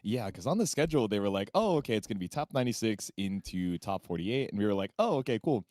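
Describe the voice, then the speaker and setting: A man's voice: on the low side, lively intonation, fast, darkish tone, no echo. Male commentator, very varied intonation, close dry sound, easygoing slangy banter. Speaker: esports commentator. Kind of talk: casual banter and anecdotes.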